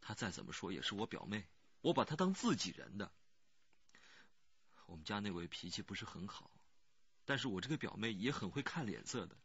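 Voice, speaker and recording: An anxious, earnest village labourer in a radio crime drama. His voice is low and husky and swings up and down as he defends himself. The sound is close, dry and a little dull. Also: fairly bright tone, no room sound, heavily acted. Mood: defensive, earnest. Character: anxious rural working man, murdered girl's cousin, pleading earnestly.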